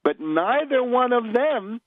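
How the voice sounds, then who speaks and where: A man delivering an unscripted political radio monologue in a scornful, emphatic, opinionated manner, with halting, deliberate phrasing. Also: very high voice, big pitch swings, dry recording. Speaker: political radio commentator and host. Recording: dull, lo-fi radio audio.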